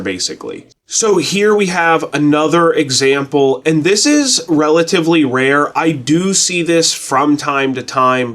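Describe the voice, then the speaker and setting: A man's voice: mid-range voice, fairly bright tone. A man giving a casual tutorial in a plainspoken, folksy, colloquial way as a presenter. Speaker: presenter of a radio tutorial channel. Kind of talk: casual explanatory tutorial talk.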